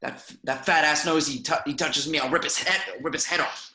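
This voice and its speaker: A man's voice: on the high side, fairly even intonation, fairly quick, sharp tone. A man playing a swaggering, hot-tempered, foul-mouthed teenage bully in an amateur script read, aggressive and sarcastic. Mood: angry, sarcastic. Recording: echoey room.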